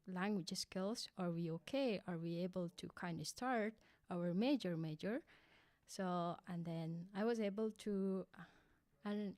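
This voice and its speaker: A young woman from Afghanistan with an Afghan accent, a radio guest talking in a hesitant way with lots of fillers, into a boom mic in a studio. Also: a little mellow, no echo. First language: Hazaragi. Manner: hesitant, many fillers like "like" and "kinda". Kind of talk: casual interview answers. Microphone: boom mic with headphones.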